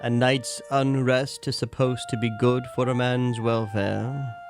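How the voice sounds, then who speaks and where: An actor playing a nervous, querulous old miser in a radio drama, stammering, repeating himself and pleading. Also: male voice, medium pitch, little pitch movement, measured pace, over music, lightly acted. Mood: wary. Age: older.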